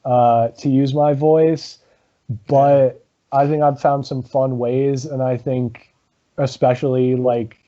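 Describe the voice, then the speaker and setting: A man, a guest in a casual interview, talking about his comedy rock band with a low voice, lots of ums and laughs. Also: little pitch movement, mellow, highs cut off. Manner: halting, many ums, laughs often.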